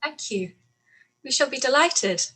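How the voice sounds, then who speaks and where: A woman playing a gracious young gentlewoman in a period radio play, composed and politely witty. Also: high voice, expressive, a little slow, breathy edge, full character voice. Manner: polite, composed, lightly teasing. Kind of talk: live-read period radio play acting.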